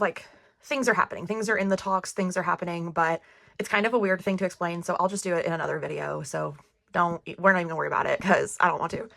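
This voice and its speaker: A woman's voice: medium pitch, vocal fry, fairly bright tone, dry recording. A woman in her thirties who is losing her voice, chatting upbeat to camera as a budgeting vlogger. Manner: chatty, upbeat, laughs often. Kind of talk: casual chat to camera.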